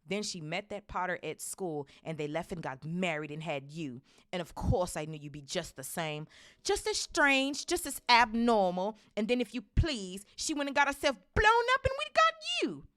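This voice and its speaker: A woman's voice: deep voice, moderate pace, few pauses, lightly acted. A woman voicing a bitter, resentful middle-aged aunt in a storybook, ranting with scorn. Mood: scornful.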